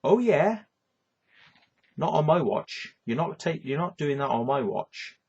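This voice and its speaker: A man's voice: on the high side, animated, unhurried, vocal fry, darkish tone, breathy edge, lo-fi sound. A man with a Welsh accent delivering a rambling, swearing rant, tagging lines with "yeah" and "right". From Wales. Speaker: vlogger. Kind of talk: ranting monologue to camera.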